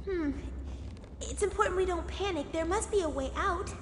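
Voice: very high-pitched, normal speed, fairly bright tone, slight background noise. An actor voicing a confident, upbeat young girl in a video game. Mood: composed, determined. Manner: confident, encouraging. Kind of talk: video game character acting.

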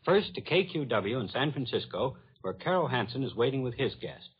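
A man's voice: medium pitch, big pitch swings, fairly quick, husky. An American man hosting a 1940s radio news program in a broadcast presenting style. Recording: dull 1940s radio recording.

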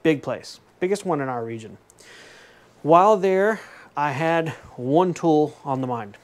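A man's voice: on the high side, lively intonation, a little slow. A man in his thirties, chatty and enthusiastic, showing off tools in a small home woodworking shop, often correcting himself with jokey asides. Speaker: woodworking vlogger. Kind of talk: casual show-and-tell vlog. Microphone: fixed camera at desk distance.

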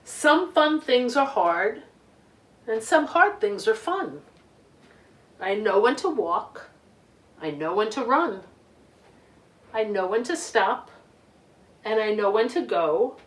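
A middle-aged woman reading a picture book aloud to children in an expressive, deliberate way with emphasized words. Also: medium pitch. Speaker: children's storytime reader. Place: living room couch, indoors. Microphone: camera a few feet away. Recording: roomy home recording, distant microphone.

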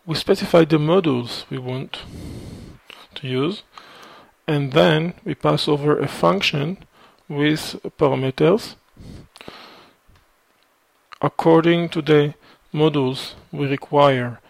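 A man talks through a code sample at a very unhurried pace. His voice is gravelly and fairly high for a man. The recording is dry and close, with methodical, filler-laden explaining. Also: fairly even intonation, dry recording. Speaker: programming instructor. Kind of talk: screencast code walkthrough. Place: at a computer, voice over screen recording.

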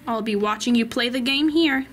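A woman playing a calm mother in a children's play story, gently but firmly instructing small children. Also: on the high side, normal speed, lightly acted. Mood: gentle. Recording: faint background noise.

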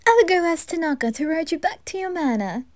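A woman's voice: very high voice, lively intonation, fast, no room sound. A woman voicing a flamboyant, doting Reaper with theatrical, gushing affection in an anime fan audio drama. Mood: brisk, affectionate. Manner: theatrical, gushing, calls others darling.

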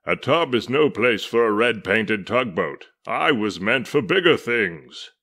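A man's voice: lowish voice, lively intonation, unhurried, bright, forceful tone, heavily acted. A man voicing a proud, fretful little red toy tugboat with indignant, declamatory exclamations in a children's storybook. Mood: defiant.

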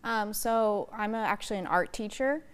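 A woman's voice: medium pitch, moderate pace, clean tone. A local artist on a morning TV segment, a young American woman with a clean, resonant voice, explaining things casually. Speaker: interview guest, artist and art teacher. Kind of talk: casual interview answers. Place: TV news studio. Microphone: lapel mic.

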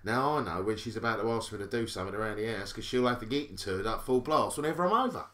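A cheeky Cockney salesman in an audio drama, with a low but bright, punchy voice. He is chatty and flirtatious, a rogue who cajoles and repeats himself as he goes. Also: lively intonation, quick pace. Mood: sly, confiding.

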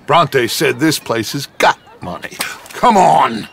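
An actor playing a persuasive American outlaw gang leader in a western game, speaking with theatrical urgency. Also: male voice, medium pitch, expressive, slow pace, gravelly, fairly bright tone, breathy edge, full character voice. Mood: scheming, wry. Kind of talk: video game cutscene acting.

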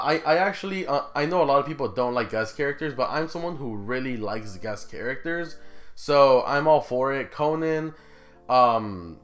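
A man's voice: mid-range voice, a bit bright. Man chatting casually over background music. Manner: informal, conversational, rhetorical questions to viewers. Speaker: gaming YouTuber, host. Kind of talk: casual video commentary to viewers.

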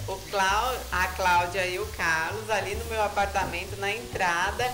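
A woman's voice: mid-range voice, steady tone, normal speed, background noise. A woman, hosting a TV cooking show and chatting eagerly with her guests, often repeating what they say. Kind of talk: lively TV cooking chat. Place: TV studio kitchen set. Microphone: handheld microphone. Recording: roomy studio sound with music. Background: background music.

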